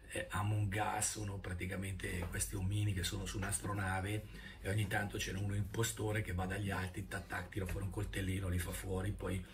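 A man, chatting casually and loosely as a vlogger. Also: sits low. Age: 50s. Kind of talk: casual rambling vlog talk. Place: indoor room. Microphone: phone at arm's length. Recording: noisy phone recording indoors.

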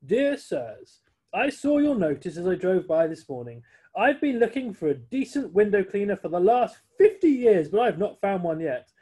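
A stiff, ceremonious chauffeur in a children's storybook, announcing each word grandly and loudly, as a man reading aloud puts him on. The voice rides high, mellow and a touch husky. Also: full character voice. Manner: pompous, ceremonious. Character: stiff, formal male chauffeur.

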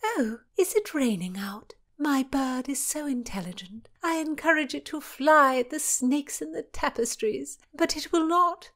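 A woman's voice: high-pitched, expressive, a little slow, few pauses, a bit bright, pitched above the performer's own voice, full character voice. A woman voicing a proud, righteous young princess in an audiobook. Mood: airy. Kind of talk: audiobook character voice.

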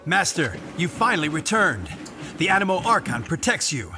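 A man's voice: on the high side, very animated, normal speed, pushed and bright, a little hiss or hum, exaggerated voice. An actor playing a nervous, deferential young male guard knight in an anime game, hesitant and stammering. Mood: relieved, respectful.

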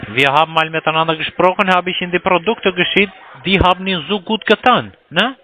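A man's voice: high voice, a little fast, bright, forceful tone. A man on a phone call, talking in a mocking, provocative way. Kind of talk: phone call, taunting chat. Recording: noisy call with clear background noise.

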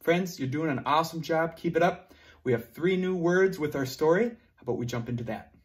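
A young man teaching preschoolers online at a whiteboard, talking slowly and encouragingly in a sing-song way, moving toward and away from the camera. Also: on the high side. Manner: slow, sing-song, encouraging teacher talk to small children. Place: classroom at a whiteboard. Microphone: camera close up, moving away to write.

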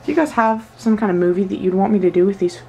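A woman's voice: medium pitch, animated, fast, dark tone, breathy edge, low-quality recording. A teenage girl casually filming a tour of her toy horse collection in her bedroom, chatty and gushing with lots of fillers. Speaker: vlogger. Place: bedroom at home. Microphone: handheld camera mic.

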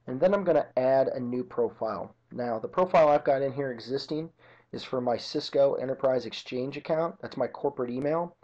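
A man narrating an informal software tutorial as an instructor, explaining each step methodically. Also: medium pitch, fairly quick. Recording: dull lo-fi computer screen-recording audio.

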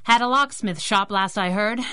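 An actress playing a tough, sassy, wisecracking masked heroine in a 1930s radio drama. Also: female voice, mid-range voice, normal speed, pushed and bright, clean tone, no echo, clean studio sound, natural voice. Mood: tough, dry. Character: feisty wisecracking female masked sidekick.

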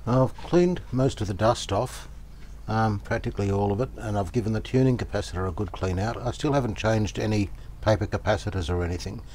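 A man giving casual, unhurried commentary as he works, thinking aloud. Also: lowish voice, darkish tone. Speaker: host, radio restorer. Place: small cluttered indoor workshop. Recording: clear background noise, home workshop.

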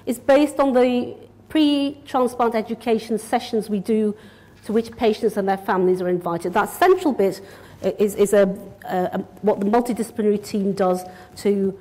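A woman's voice: medium pitch, steady tone, normal speed, dark tone. A middle-aged woman from Yorkshire, a kidney doctor, giving a public lecture in a direct, organised, conversational way at a lectern mic. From Yorkshire, England. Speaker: consultant nephrologist. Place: lecture room, indoors.

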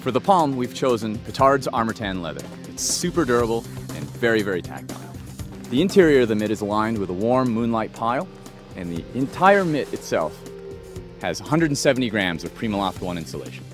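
Lively man presenting outdoor gear. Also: mid-range voice, fairly quick, over music. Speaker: athlete ambassador. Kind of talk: product presentation. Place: outdoors on a snowy mountain.

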